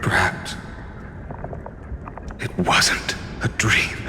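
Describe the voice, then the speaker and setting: An actor voicing a grave, weary male companion in a game cutscene, speaking quietly and measured. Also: in a large hall, slight background noise, full character voice. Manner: quiet, measured, half-whispered delivery.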